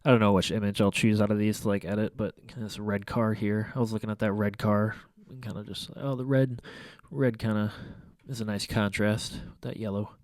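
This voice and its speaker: A young man talks through his photos with a congested, stuffy nose, rambling and restarting his sentences. His voice sits low and sounds smooth and clear. The sound is dry and close, with no room around it. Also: little pitch movement, moderate pace, hardly any pauses, a little mellow, no room sound. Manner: rambling, hesitant, many fillers and restarts. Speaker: photography vlogger. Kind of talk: casual photo review commentary. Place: small room at home by a window.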